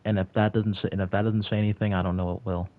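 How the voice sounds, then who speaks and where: A young man of about twenty talks loosely to his livestream chat. He rambles, filling his speech with "like" and starting sentences over. His voice sits very low and comes through thin and phone-like. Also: level tone, rapid-fire. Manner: rambling, heavy on "like" and restarts. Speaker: streamer answering chat. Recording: tinny phone-like audio.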